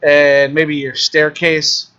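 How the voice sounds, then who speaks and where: A man, a filmmaker guest, talking casually with animated, joking, profane rants. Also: fairly high pitch, slow pace, clear, resonant tone, muffled recording. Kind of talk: casual chat.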